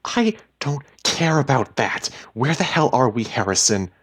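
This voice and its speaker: A frightened and furious wife in a dramatic story, acted out by a man. The voice is lifted well above a natural low register, exasperated and emphatic, with a biting, sarcastic edge. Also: sing-song, a little slow, a bit bright, breathy edge, full character voice. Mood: alarmed, angry.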